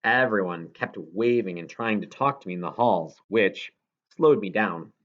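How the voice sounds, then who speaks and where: A man's voice: fairly low pitch, normal speed, a bit bright. A man reading a first-person children's novel aloud as scripted narration, in a dull, muffled recording. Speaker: audiobook reader.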